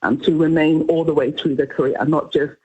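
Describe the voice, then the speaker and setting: A woman's voice: deep voice, fairly even intonation, a little fast, a little mellow, slight background noise. A woman from Ghana giving earnest, explanatory answers in conversational style as a guest in a radio interview. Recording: muffled radio interview audio.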